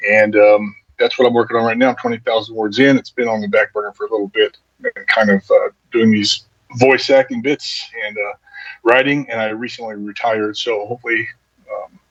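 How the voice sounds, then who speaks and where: A man's voice: lowish voice, steady tone, brisk, fairly bright tone, slightly rough, no echo, dull audio. An older man chatting casually as a guest, in an easygoing, rambling way. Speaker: voice actor and writer guest. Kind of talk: casual chat.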